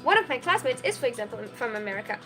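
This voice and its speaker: High-voiced giggly young woman streamer. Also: sing-song, rapid-fire, sharp tone, soft background music. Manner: chatty and giggly, full of "like" and "um". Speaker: streamer and college student. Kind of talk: casual livestream chat answering viewer questions.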